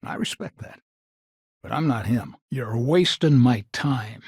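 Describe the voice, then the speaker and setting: An actor voicing a proud, stubborn retired patriarch of a frontier founding family in a video game, gruff and folksy, with a gravelly, husky voice. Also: male voice, mid-range voice, expressive, unhurried, a little mellow, dry recording, clean studio sound. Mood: irritated. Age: older. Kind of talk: video game character dialogue.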